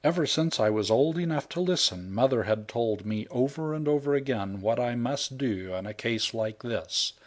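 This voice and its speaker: A man narrating a humorous short story in the first person, wry and even. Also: medium pitch, moderate pace, few pauses. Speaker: audiobook narrator. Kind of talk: scripted narration.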